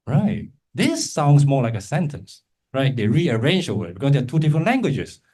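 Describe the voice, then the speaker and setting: A male Buddhist teacher leads an interactive class in an informal, encouraging way. He explains step by step and keeps checking in with little tag questions, at an average pitch for a man. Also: big pitch swings, moderate pace, a little mellow, breathy edge. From Malaysia. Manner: encouraging, informal, frequent check-in tags like "right?" and "okay?". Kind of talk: interactive lecture to a class with remote listeners.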